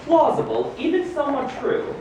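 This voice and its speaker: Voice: sits high, moderate pace, vocal fry. A performer playing a wizard headmaster who reads his own biography aloud to a live gathering, with theatrical delivery and wry, amused asides. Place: live book launch party in a room. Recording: echoey noisy room, distant microphone.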